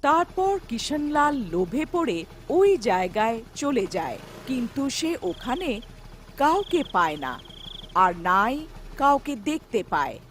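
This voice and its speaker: Slow, high-voiced woman storyteller. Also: big pitch swings, a little hiss or hum. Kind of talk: scripted horror folktale narration.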